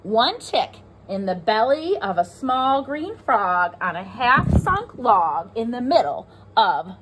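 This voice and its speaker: A woman teacher reading aloud to pre-kindergarten children, expressive and sing-song, stressing rhyming words and pausing to talk to her listeners. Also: a little high, slight background noise, poor audio. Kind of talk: animated children's read-aloud with asides.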